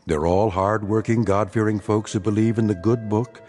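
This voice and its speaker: A man narrating a radio drama as a measured, scene-setting storyteller. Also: on the low side, fairly even intonation, darkish tone, soft background music, natural voice.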